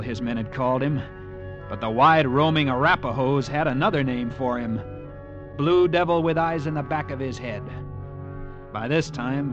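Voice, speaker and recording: An actor playing an earnest, dreamy young cavalry officer in a 1950s radio drama. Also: male voice, mid-range voice, muffled recording, natural voice. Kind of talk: 1950s radio drama acting with narrated inner monologue. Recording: old radio broadcast with music behind.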